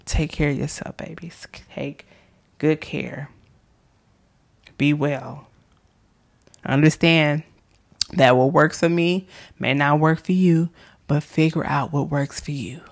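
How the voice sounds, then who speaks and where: Woman podcaster losing her voice, gravelly and husky, soft and affectionate, slips into whispers. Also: low voice, steady tone, takes it slowly, long pauses. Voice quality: hoarse, gravelly. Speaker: podcast host. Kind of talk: casual faith-based encouragement.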